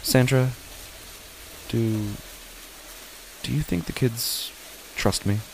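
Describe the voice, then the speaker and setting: A man playing an uneasy father who narrates his own horror story in a halting, brooding way. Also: medium pitch, vocal fry, slight background noise, rough recording. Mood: anxious. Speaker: narrator and lead character of a horror audio drama. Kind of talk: first-person horror audio drama narration.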